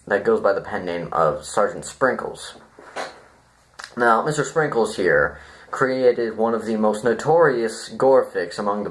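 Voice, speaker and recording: A man giving an informal, rambling critique to viewers, deadpan and self-deprecating, halting as he thinks aloud. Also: lowish voice. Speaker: video reviewer.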